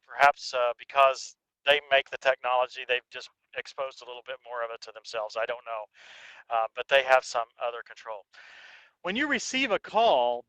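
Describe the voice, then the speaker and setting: Male reviewer, bright forceful tone, casual and explanatory, dry close headset mic. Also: on the high side, no echo, rough recording. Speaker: host and product reviewer. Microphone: intercom headset microphone.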